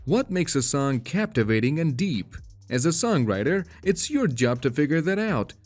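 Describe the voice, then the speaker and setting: Guy doing a lively scripted voiceover, bit high, soft music behind. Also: moderate pace. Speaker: video narrator. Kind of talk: scripted voice-over narration.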